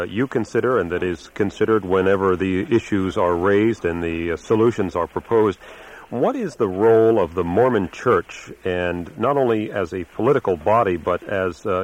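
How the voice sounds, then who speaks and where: A man with a fairly low voice hosts a radio environment program. He reads his script in a measured, announcer-like way and poses careful questions to his guests. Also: poor audio. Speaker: radio show host and reporter. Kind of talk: scripted radio narration and interview.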